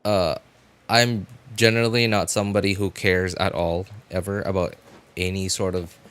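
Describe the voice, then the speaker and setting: A man's voice: low-pitched, level tone, moderate pace, a bit bright, smooth. A man chatting casually as a game streamer, thinking aloud in a rambling, repetitive way. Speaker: game livestreamer. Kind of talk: casual livestream chat.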